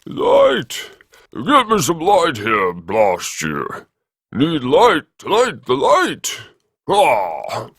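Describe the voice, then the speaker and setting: An audiobook figure of a gleefully nostalgic old gentleman of the university. He is pompous and exclamatory, his voice pushed high and loud, leaping around in pitch. The recording is close and dry. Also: wildly swooping pitch, extremely slow, breathy edge, no echo, heavily acted. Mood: exasperated bellowing. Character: blustering, booming old male university grandee, gleefully nostalgic.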